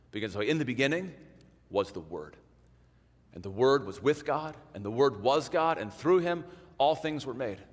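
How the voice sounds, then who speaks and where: A man's voice: medium pitch, normal speed. Man preaching in a reverberant sanctuary. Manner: conversational, animated, drops to hushed emphasis. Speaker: pastor. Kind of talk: sermon. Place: large church sanctuary in front of an altar. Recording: long church reverb.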